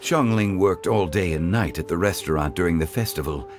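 A man voicing a calm, dignified male scholar in a game, speaking in a measured, formal way. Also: sits low, over music, natural voice. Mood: composed.

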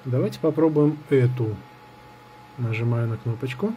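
With faint noise behind him, a man talks through a casual gadget review at a table at home. His pitch is average for a man. He improvises as he goes, hesitating and thinking aloud. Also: normal speed, mellow. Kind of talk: casual hands-on product review. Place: indoors at a table in an apartment. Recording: clear background noise on home recording.

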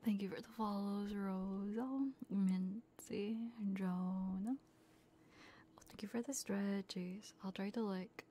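A woman streaming ASMR, whispering and softly chatting to viewers in a soothing, playful way, close to a binaural microphone. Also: mid-range voice, steady tone, slow, a little hiss or hum. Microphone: close binaural ear microphone.

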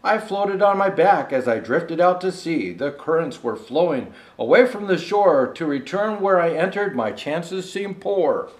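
An older man playing a jolly old Santa Claus, gently reading a rhyming storybook aloud with chatty asides. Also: fairly high pitch, animated, unhurried, few pauses. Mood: storytelling. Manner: gentle storyteller, showing pictures to listeners. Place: indoor room set with fireplace and tree. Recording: echoey room.

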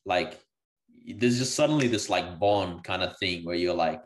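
A man on a video call chats in a relaxed, slangy way during a casual podcast. His voice sits fairly low. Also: moderate pace. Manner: relaxed, slangy, conversational, says "bro" and "like" often. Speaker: podcast co-host. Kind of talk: casual group discussion.

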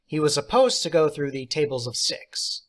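A man's voice: on the high side, sing-song, normal speed. A man reading a short story aloud as audiobook narration. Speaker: audiobook reader.

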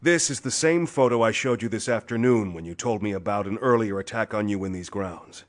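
An actor playing a hard-nosed, suspicious police detective in a pulp thriller audio drama, firing insistent, probing questions. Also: male voice, fairly low pitch, a little fast, lightly acted.

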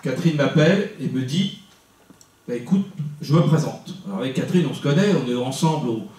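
Man, 60s, local mayor at a campaign meeting; measured, many fillers, mellow voice, heavy room echo from afar. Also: mid-range voice, lively intonation, distant mic. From Normandy, France. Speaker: mayor, substitute candidate. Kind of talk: introducing himself at a campaign meeting. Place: small meeting room, indoors. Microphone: handheld mic, filmed by a far camera. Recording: very echoey room heard from afar.

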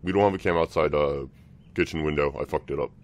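A man's voice: very deep voice, steady tone, quick pace, a little mellow. A man playing a team shooter, giving casual callouts to teammates. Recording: noisy game voice chat, gameplay sounds. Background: video game sounds.

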